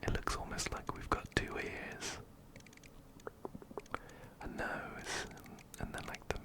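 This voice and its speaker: A man whispering softly and breathily into a close binaural microphone, giving gentle ASMR game commentary and often repeating words rhythmically. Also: slow. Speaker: ASMR gaming video host. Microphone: close-up binaural microphone, ear to ear.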